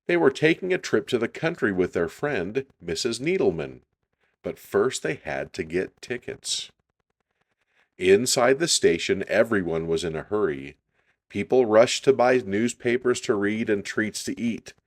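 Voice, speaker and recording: A man reading a children's story aloud in an unhurried, deliberate storytelling style. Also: fairly low pitch, lively intonation.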